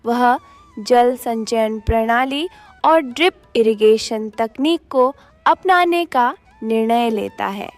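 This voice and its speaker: A woman's voice: on the high side, slow pace, faint music behind. A woman reading scripted narration for a cartoon moral story as its storyteller.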